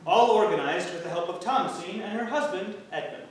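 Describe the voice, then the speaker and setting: A man's voice: sits high, fairly quick. A man giving theatrical scripted narration as an actor reading a play synopsis. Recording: echoey hall from far off, faint noise.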